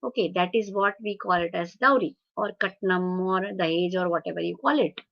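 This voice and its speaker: Halting Indian woman giving a webinar lecture. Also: fairly low pitch, creaky, muffled recording. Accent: Indian English. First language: Marathi. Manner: halting, many fillers and restarts. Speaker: webinar speaker on translation. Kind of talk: lecture with audience questions. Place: video call webinar.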